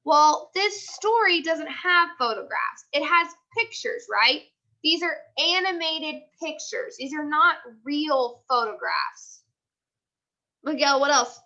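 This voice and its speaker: A woman's voice: high-pitched, expressive, slow pace, pushed and bright, muffled recording. A woman teacher leading a live online class discussion, prompting with repeated questions and short commands.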